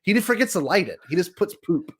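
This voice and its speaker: A man co-hosting a podcast in casual banter, talking in an animated, jokey and enthusiastic way. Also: sits high, quick pace, husky. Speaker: podcast co-host.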